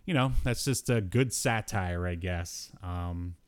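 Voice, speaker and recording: A man co-hosting a podcast in casual, unscripted talk, often halting as he gathers his thoughts. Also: sits low, smooth, no echo. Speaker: podcast co-host.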